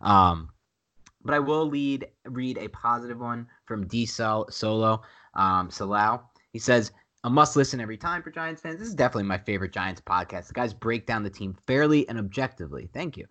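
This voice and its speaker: A man's voice: mid-range voice, animated, fairly quick, bright, forceful tone. A man with a nasal voice talking casually and emphatically as co-host of a sports podcast, repeating himself for emphasis.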